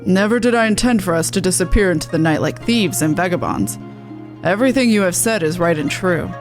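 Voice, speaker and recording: A woman reading the game dialogue of an earnest, courteous male refugee leader in a formal, measured manner. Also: fairly low pitch, lively intonation, over music, natural voice. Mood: earnest, reassuring.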